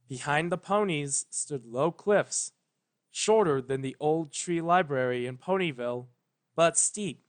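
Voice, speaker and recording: Slow, solemn storyteller with a mid-pitched voice. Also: no echo. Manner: measured, solemn, pausing between phrases. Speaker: story narrator. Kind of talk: scripted dramatic fan fiction narration.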